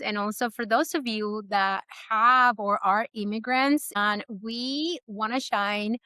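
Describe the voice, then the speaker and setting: A woman hosts a podcast interview with an enthusiastic, affirming manner. Her voice sits a bit higher than average. She sounds close and dry, with no room behind her. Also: clean tone, dry recording. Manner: warm and enthusiastic, affirming the guest. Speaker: podcast host.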